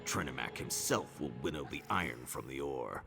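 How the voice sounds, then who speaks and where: A man playing a stern, devout orc elder in a fantasy video game, with a gravelly voice and a grave, measured, cryptic manner. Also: expressive, heavily acted. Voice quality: very deep, gravelly. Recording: background music under the voice.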